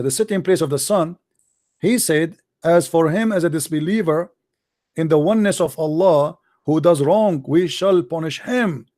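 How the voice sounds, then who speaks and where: A man whose first language is Arabic presses his point hard in a heated debate. He talks over his caller and won't let go. His voice sits a little high and sounds dry and close, with no room echo. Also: a little mellow. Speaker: host.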